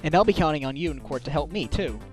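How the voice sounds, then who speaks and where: An earnest defense lawyer with a lively, fairly high male voice acted by a man. He sounds determined yet a little weary, in the conversational style of a game drama. Music is clearly heard behind him. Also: fast, close to an everyday voice. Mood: serious. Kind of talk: scripted anime-game fan dub acting.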